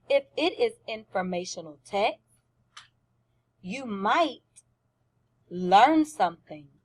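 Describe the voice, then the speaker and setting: A woman teacher giving a lesson to young children, explaining simply, repeating points and asking questions. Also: fairly high pitch. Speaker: first-grade teacher.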